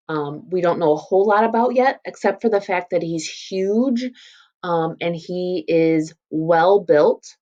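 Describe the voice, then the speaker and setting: A woman's voice: deep voice, lo-fi sound. A woman teacher reading a story aloud and explaining it in an informal way. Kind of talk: read-aloud lesson with casual explanatory commentary.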